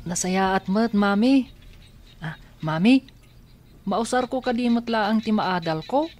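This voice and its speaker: A woman's voice: medium pitch, expressive, unhurried, darkish tone, soft background music, natural voice. A woman playing an earnest, doubtful blind young boy in a radio drama, speaking plaintively. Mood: plaintive, unsure.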